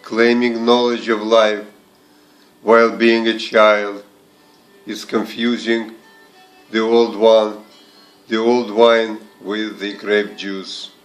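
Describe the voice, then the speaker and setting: A man reading verse aloud in a measured recitation, in short deliberate phrases set apart by pauses. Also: lowish voice, little pitch movement, faint music behind, rough recording. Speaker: poetry reader and translator. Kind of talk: slow, measured poetry recitation.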